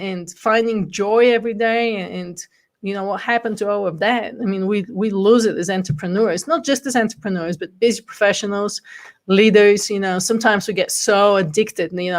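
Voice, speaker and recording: A woman's voice: medium pitch, normal speed. A woman in her forties from Bulgaria, talking candidly as an interview guest, with frequent ums. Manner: frequent ums and light laughter, candid storytelling. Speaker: guest speaker. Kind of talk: casual interview chat.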